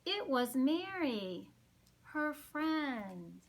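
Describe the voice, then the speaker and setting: A woman reading a picture book aloud to young children, with dramatic, drawn-out phrasing and little asides. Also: high voice, big pitch swings. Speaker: children's storytime reader.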